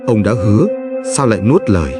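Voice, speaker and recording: A man voicing an honest, gentle, hardworking young farmhand in a cartoon fairy tale, speaking earnestly. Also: fairly low pitch, lively intonation, brisk, natural voice. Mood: hurt. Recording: music behind the voice.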